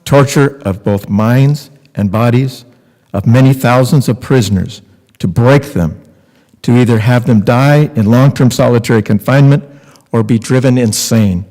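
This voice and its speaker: A man in his sixties reading scripted testimony aloud with deliberate, emphatic phrasing. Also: medium pitch, dark tone. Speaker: reader in a readers theater. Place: large temple sanctuary, at a lectern. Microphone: podium microphone on a boom stand. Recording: long hall reverb.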